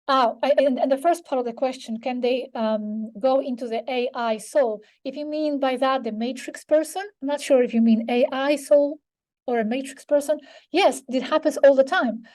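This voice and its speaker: Mellow high-voiced woman, slightly hoarse. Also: fairly even intonation, normal speed. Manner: thoughtful, searching for words with many restarts. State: slightly hoarse, losing her voice. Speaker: keynote speaker answering audience questions. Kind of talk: conference Q&A answers.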